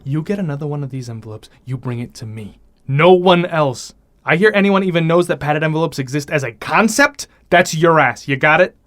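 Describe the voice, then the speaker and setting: A man's voice: fairly high pitch, animated, brisk, a bit bright. An actor playing a brash, insistent male newspaper reporter who swears freely in an audio drama.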